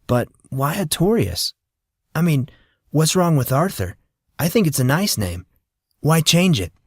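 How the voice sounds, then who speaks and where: A man's voice: on the high side, very animated, measured pace, husky, no echo, clean studio sound, voice pushed up, exaggerated voice. A man voicing a fourteen-year-old boy who is polite, slightly hesitant and wryly playful in an audiobook. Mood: hesitant, curious.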